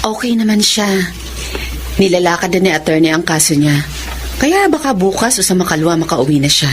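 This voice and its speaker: A woman's voice: on the low side, animated, a little fast, a little hiss or hum, close to an everyday voice. An actress with a Filipino accent playing a remorseful, affectionate mother in a radio drama, with an emotional, apologetic delivery. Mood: guarded, subdued.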